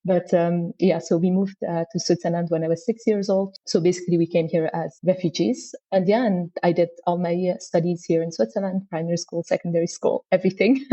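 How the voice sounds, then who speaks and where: A woman's voice: on the low side, warm tone. A young woman from Afghanistan, a scientist answering interview questions thoughtfully with many fillers. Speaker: guest, PhD scientist. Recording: dull lo-fi audio.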